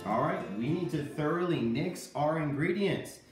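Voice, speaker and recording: A man in his thirties presenting a cooking lesson for children, playing the animated straight man. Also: a little high, moderate pace, over music. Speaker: host of a children's cooking show. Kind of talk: scripted instructional presenting with puppet banter. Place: home kitchen. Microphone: camera a few meters away. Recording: very echoey room, distant microphone.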